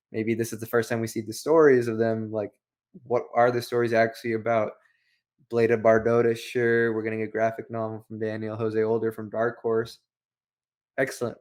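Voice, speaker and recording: A man's voice: medium pitch, a little mellow. A man co-hosting a review show, talking casually and giving opinions in a rambling way full of rhetorical questions. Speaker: co-host of a book review show.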